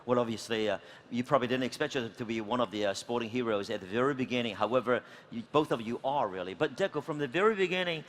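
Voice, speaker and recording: A man's voice: medium pitch, fast talker, few pauses. A man moderating a conference panel, asking long, rambling questions full of fillers. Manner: rambling, filler-heavy questions with encouraging backchannels.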